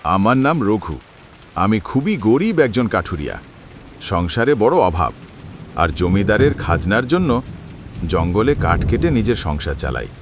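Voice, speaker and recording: A man's voice: on the low side, very animated, unhurried, pauses often, slight background noise. A man voicing a poor, humble village woodcutter in a cartoon, speaking earnestly and plaintively. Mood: pleading, humble. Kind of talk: Bengali moral-story cartoon voice acting.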